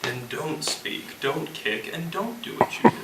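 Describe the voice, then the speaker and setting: A man's voice: a little high, big pitch swings, a little slow. Man acting a blunt young slacker, echoey and distant. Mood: irritated. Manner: sarcastic, blunt slangy talk. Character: irritable, stubborn, plain-spoken young slacker guy. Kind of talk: amateur stage play acting. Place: indoor stage, recorded at a distance. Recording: echoey distant stage recording, clear noise.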